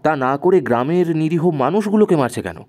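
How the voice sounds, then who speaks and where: A man's voice: on the high side, lively intonation, fairly quick, darkish tone, lightly acted. A man playing a sensible, firm young investigator with a questioning manner in an audio horror story. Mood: puzzled. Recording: music under the voice.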